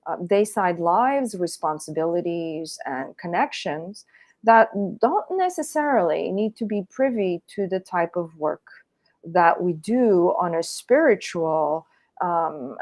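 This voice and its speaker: A woman with a somewhat low voice talks as an interview guest. Her sound is dry and close, with no room around it. She speaks in a measured, deliberate way and pauses often to think. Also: lively intonation, a little mellow, no room sound. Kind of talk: reflective interview talk.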